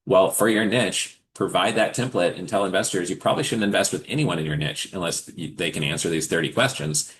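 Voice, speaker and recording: A man's voice: sits low, fairly quick, few pauses, a bit bright, a little hiss or hum. A man hosting a live forum, answering questions in a casual, conversational advisory style and explaining with examples. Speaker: host of a live forum for investors and founders.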